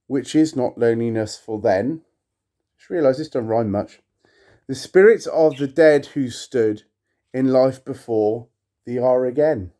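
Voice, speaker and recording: A man's voice: medium pitch, dark tone. A man giving a theatrical poetry reading, with wry, playful and self-mocking chatty delivery as he hosts his own video. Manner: slow, dramatic delivery of verse. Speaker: video creator and host reading poetry aloud.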